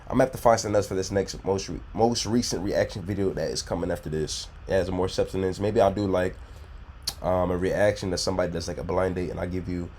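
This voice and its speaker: A man's voice: low-pitched, fairly even intonation, fast, a little mellow, a little hiss or hum. A man hosting a reaction video, giving blunt, profane, preachy dating advice in a casual way.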